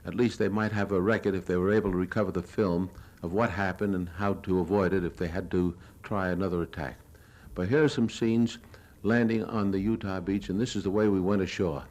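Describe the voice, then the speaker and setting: Older male, American, lowish voice. Reporter's measured, plain-spoken lecture narration of war film, archival 1970s recording. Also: steady tone, darkish tone. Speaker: newsreel reporter giving a lecture.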